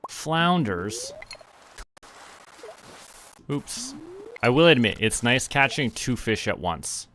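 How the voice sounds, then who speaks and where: A man's voice: mid-range voice, big pitch swings, takes it slowly, fairly bright tone, a little hiss or hum. A man giving casual live game commentary, talking to himself and his viewers as a streamer. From Canada.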